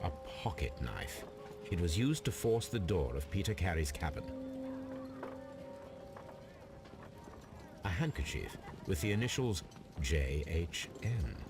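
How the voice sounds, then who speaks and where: A man playing a composed detective in a video game, probing in a measured, formal and coolly inquisitive manner. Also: low-pitched, big pitch swings, music in the background. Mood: musing. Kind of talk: dramatic video game acting.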